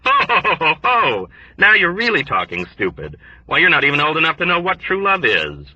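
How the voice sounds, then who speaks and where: A smug, oblivious officer booms his patronizing laughter, pitched above the reader's own voice, as a man acts him out. The tone is sharp and husky, and the reading is a dry storybook narration. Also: huge pitch swings, brisk, no room sound, highs cut off, heavily acted. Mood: gleeful. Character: pompous jovial male officer, smug and oblivious.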